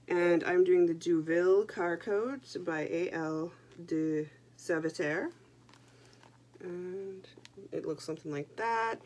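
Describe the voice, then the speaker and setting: Young woman, low voice, congested from a cold; casual, self-deprecating vlog host. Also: a little mellow, slight background noise. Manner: chatty, self-deprecating, jokey asides. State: stuffy with a head cold. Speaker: knitting podcast host. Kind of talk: casual chat to camera.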